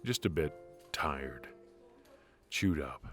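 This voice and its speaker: A man playing a cocky but affectionate secret agent in an intimate noir audio roleplay, with halting, teasing delivery. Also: extremely low pitch, animated, a bit bright, faint music behind, full character voice. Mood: weary, teasing. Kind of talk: intimate ASMR roleplay voice acting.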